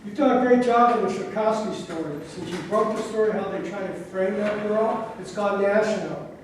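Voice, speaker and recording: A man's voice: high voice, normal speed, close to an everyday voice. A man reading lines and stage directions at a staged play reading. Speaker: reader of parts and stage directions. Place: echoey room at a live staged reading. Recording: echoey room, far mic, faint noise.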